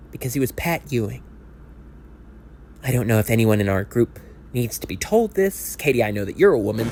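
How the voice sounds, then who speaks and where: Animated male storyteller, widely swinging pitch. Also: a little hiss or hum. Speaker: storyteller narrator of an audio play. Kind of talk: scripted dramatic monologue, conversational storytelling.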